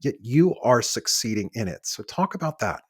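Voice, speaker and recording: Podcast host, man, 40s, husky voice, lively intonation, earnest. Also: medium pitch, moderate pace. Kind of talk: conversational interview.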